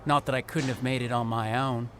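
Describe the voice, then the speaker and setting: A man playing an eager, talkative young American rookie wrestler in a video game cutscene, chatty and excitable. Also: mid-range voice, brisk, a little hiss or hum, close to an everyday voice. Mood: boastful, eager.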